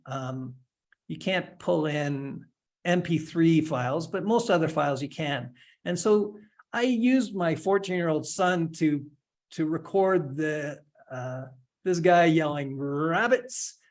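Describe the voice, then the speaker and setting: Relaxed man walking through a software demo. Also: fairly high pitch. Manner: relaxed step-by-step narration with light humor. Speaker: instructor giving a software demo. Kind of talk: screen-share tutorial, casual explanation.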